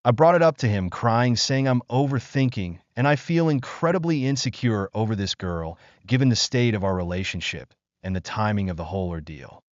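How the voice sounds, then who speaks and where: A man narrating a first-person relationship story from an online post in a steady, even reading voice. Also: lowish voice, a little fast, hardly any pauses, dry recording. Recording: dry, slightly dull lo-fi sound.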